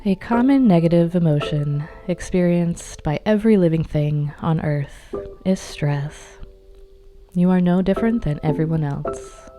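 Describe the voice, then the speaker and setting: A woman narrating a guided meditation in a calm, measured, instructional manner. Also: on the low side. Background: meditation music.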